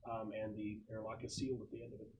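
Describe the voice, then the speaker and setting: A man acting as game master, casually narrating a tabletop game in a halting, explanatory way. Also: lowish voice, little pitch movement. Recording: dull lo-fi recording with clear noise.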